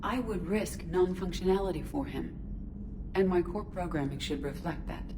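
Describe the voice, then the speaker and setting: A woman playing an earnest, logical, curious artificial intelligence in a video game, speaking in formal, measured, precise phrases. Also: fairly low pitch, background noise, lightly acted. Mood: earnest, resolute. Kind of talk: scripted video game voice acting. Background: music.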